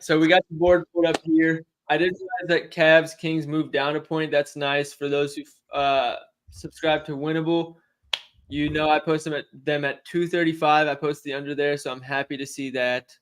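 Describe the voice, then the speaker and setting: A young man co-hosting a casual sports betting livestream, chatty and quick to laugh while he answers questions from the chat. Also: on the high side, a bit bright, slight background noise. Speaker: sports betting stream co-host.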